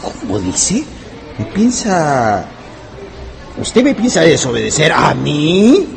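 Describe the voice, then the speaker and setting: A stern, creaky-voiced schoolteacher. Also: male voice, a little high, huge pitch swings, takes it slowly, music in the background, heavily acted. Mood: indignant. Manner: authoritarian, scolding. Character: stern, flustered, indignant schoolteacher. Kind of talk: radio drama acting.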